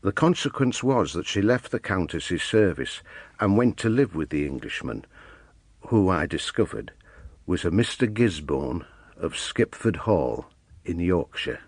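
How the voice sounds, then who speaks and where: An actor playing a measured, formal priest voicing his letter in an audio drama. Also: low-pitched, sing-song, dry recording, full character voice. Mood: measured, informative.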